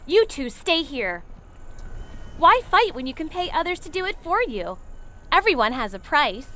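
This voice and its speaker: A woman's voice: high voice, very animated, normal speed, pushed and bright, a little hiss or hum, heavily acted. An actress playing a brash, mocking young female thief in a game cutscene. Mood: cocky. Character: cocky young female thief, mercenary and scornful.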